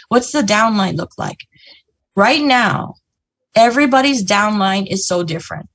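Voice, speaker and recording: A woman with a fairly high, husky voice talks over a video call. She gives a casual, motivational business talk and keeps checking in with her listeners as she goes. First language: English. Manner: lively, chatty, frequent "you know" and "right?". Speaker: business presenter.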